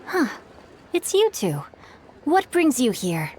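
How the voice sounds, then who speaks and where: A woman's voice: high voice, huge pitch swings, slow pace, darkish tone, slight background noise, heavily acted. Woman voicing a bookish, composed teenage boy. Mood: mildly surprised. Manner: thoughtful, articulate storyteller.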